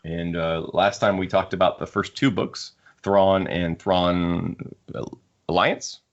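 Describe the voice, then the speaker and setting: A man with a deep voice hosts a casual book discussion. He often restarts his phrases as he works toward a point. The recording is a little dull and lo-fi. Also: level tone, takes it slowly. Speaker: podcast host. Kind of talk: casual discussion of sci-fi books.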